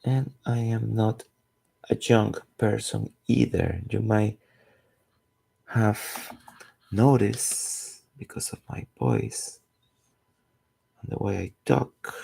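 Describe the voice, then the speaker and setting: Gravelly Costa Rican man in his forties. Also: lowish voice, extremely slow, long pauses, warm tone, poor audio. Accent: Costa Rican Spanish. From Costa Rica. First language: Spanish. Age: 40s. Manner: rambling, searching for words. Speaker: comic artist streaming his drawing. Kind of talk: casual chat while drawing.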